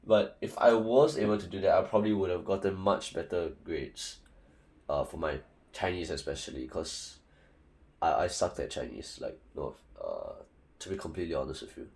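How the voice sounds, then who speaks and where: A man's voice: sits low, lively intonation, normal speed, creaky, a little mellow. A young man from Singapore with a Singaporean English accent, giving casual, rambling study advice full of fillers and restarts. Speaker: student tutor.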